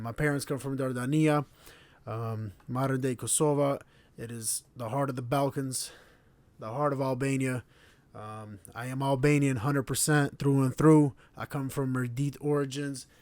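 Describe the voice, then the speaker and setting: A man, hosting a podcast in a relaxed, proud monologue to camera. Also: mid-range voice, no room sound. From born in Slovenia, raised in Connecticut. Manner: relaxed, proud, repetitive phrasing. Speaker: podcast host. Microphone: camera mic.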